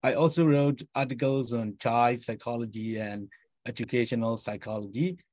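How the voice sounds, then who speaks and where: A man's voice: medium pitch, fairly even intonation, normal speed, darkish tone, dry recording. A middle-aged man from Myanmar, formally and politely introducing himself as a teacher on a video call. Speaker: teacher panelist. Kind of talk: webinar self-introduction.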